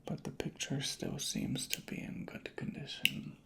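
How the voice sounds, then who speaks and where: A hushed, breathy ASMR voice, soft-spoken and whispering in a deliberate, unhurried roleplay as an inspector. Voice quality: breathy whisper with creaky fry. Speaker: ASMR creator playing a record inspector.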